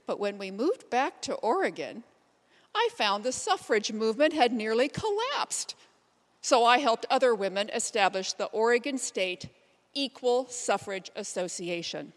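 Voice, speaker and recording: A woman playing a determined, plain-spoken pioneer suffragist, telling her life story in measured readers theater style. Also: fairly high pitch, sing-song. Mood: measured recounting. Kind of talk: readers theater first-person monologue. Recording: reverberant hall sound.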